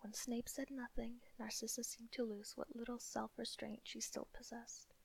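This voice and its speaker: A woman narrates a novel entirely in a whisper. Her voice is breathy and husky, pitched a little high, and stays flat and even. The reading is steady and soft. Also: normal speed.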